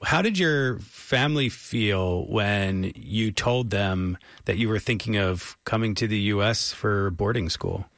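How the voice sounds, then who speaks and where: A man's voice: fairly low pitch, measured pace, hardly any pauses, a bit bright, no room sound, studio quality. A man with an American accent hosting a public radio music interview in an effusive, encouraging manner. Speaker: radio show host and interviewer.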